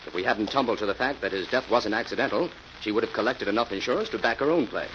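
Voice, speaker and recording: An actor playing a cool, confident crime-fighter in an old radio mystery, speaking with assured deliberation. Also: male voice, on the low side, animated, quick pace, close to an everyday voice. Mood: assured, explaining. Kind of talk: 1940s radio acting. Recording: dull, faintly noisy old radio recording.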